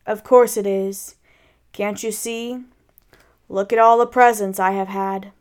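A woman's voice: mid-range voice, fairly even intonation, unhurried, darkish tone, clear, resonant tone, natural voice. A woman voicing a gloomy, self-pitying old male donkey from a storybook, with a mournful, sighing, sarcastic delivery. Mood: gloomy sarcasm.

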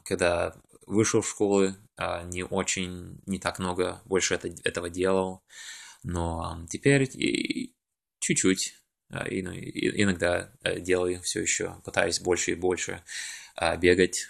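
Hesitant low-voiced man, very flat. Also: creaky. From Russia. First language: English now dominant. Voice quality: hesitant, many fillers and pauses searching for words. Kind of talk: casual monologue recording.

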